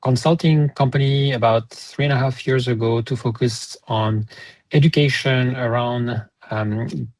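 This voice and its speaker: French-accented man, halting, dry. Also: mid-range voice, steady tone, a little mellow, dry recording, low-quality recording. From France. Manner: halting, with many fillers. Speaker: sustainability consultant. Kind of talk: panel self-introduction.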